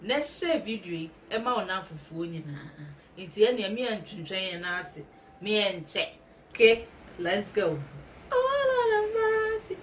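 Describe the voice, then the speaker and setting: A woman's voice: mid-range voice, sing-song, moderate pace, far from the mic, slight background noise. A young Ghanaian woman from the Ashanti region talking casually through a makeup tutorial, mixing in English words. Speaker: beauty vlogger. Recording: voice a bit off-mic, music underneath.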